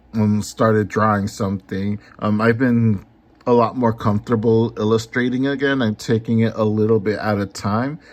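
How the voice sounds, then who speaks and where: A man talking casually in a vlog about his comics and live streams, unhurried, with many ums. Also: fairly low pitch. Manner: unhurried, lots of ums and filler. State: a little hoarse. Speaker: comic artist and YouTuber.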